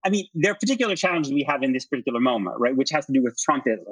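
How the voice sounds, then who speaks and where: A man's voice: mid-range voice, animated, rapid-fire, breathy edge, no echo, low-quality recording. A middle-aged man arguing politics as an interview guest, insistent and often repeating phrases as he presses his point. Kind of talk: heated political debate.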